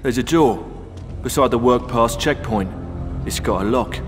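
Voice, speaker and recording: A man's voice: medium pitch, normal speed, close to an everyday voice. A man playing a gossipy, streetwise prison inmate in a video game, telling grisly stories and testy about interruptions. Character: gossipy, irritable male prison inmate spinning grisly tales. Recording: long echoing reverb, faint music.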